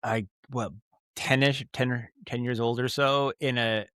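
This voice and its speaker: An American man speaking as a podcast guest, telling stories in a casual, rambling and animated way. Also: mid-range voice, unhurried, clear, resonant tone, no room sound, studio quality. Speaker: podcast guest, audio drama writer and producer. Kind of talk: casual interview storytelling.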